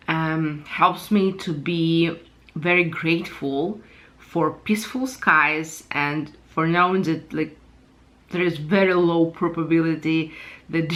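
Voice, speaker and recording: A woman from Ukraine talks to her channel's viewers in an unscripted personal commentary. Her voice sits a little low, and she thinks aloud, often pausing and restarting to find the right word. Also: a bit bright. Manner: thoughtful, searches for words and restarts often. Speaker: channel host sharing personal opinion.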